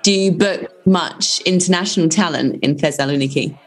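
A woman with a husky voice asking friendly, casual questions as an interviewer. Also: sits low, moderate pace, soft background music, a faint crowd.

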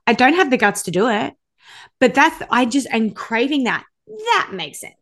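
A woman hosting a podcast in casual chat, with an animated, self-deprecating manner. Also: sits high, moderate pace. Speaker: podcast host.

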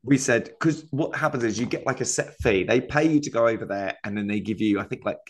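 A male comedian co-hosting a podcast, spinning a wandering anecdote in relaxed conversation. He laughs often as he goes and keeps circling back on his own story, in an everyday middle-pitched voice. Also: brisk. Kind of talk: casual chat.

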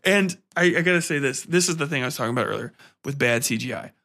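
A man's voice: medium pitch, lively intonation, fast, bright, forceful tone. A man hosting a podcast in a casual, rambling film-review rant, profane, exasperated and mocking.

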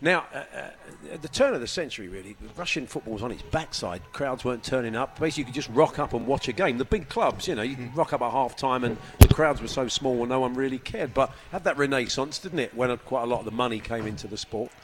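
A man's voice: medium pitch, lively intonation, fast, hardly any pauses. A man hosting a talk show, presenting in a loose, jokey way with fillers. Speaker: host. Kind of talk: casual talk-show chat.

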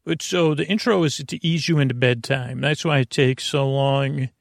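Man, mid-pitched, gravelly creak, bedtime podcast monologue, halting, tangent-prone, soothing. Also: fairly even intonation, no echo, clean studio sound. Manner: meandering, halting, trails off into tangents and self-corrections; gentle, reassuring. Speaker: podcast host.

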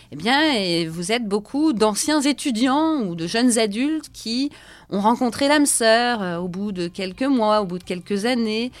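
A woman's voice: mid-range voice, dry recording. A middle-aged woman from France hosting a podcast, narrating and interviewing in a conversational way with frequent hesitation fillers. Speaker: podcast host.

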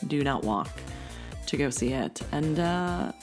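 Low-voiced female podcast co-host. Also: unhurried, faint music behind. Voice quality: deeper voice for a woman. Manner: relaxed, drawling sign-off with y'all. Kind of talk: casual chat.

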